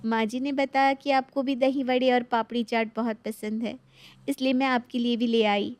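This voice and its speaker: A woman's voice: on the high side, little pitch movement, normal speed, darkish tone, dry recording, close to an everyday voice. A woman playing a young, dutiful daughter-in-law in a moral story, speaking politely and earnestly. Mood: respectful. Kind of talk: animated moral story dialogue.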